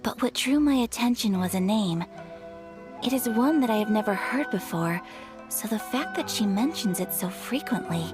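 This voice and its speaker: A woman playing a polite, gentle young noblewoman in an anime video game, speaking formally and with courteous, measured phrasing. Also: mid-range voice, animated, natural voice. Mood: earnest, composed. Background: background music.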